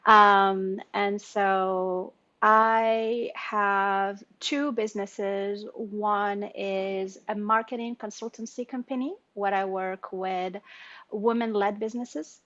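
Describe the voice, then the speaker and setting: Woman, 40s, from Morocco, coach type; effusive and animated, dry muffled call audio. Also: mid-range voice, takes it slowly, no echo. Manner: animated and effusive, laughing between phrases. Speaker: business owner and women's leadership coach. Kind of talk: personal introduction in an online circle. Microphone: video call.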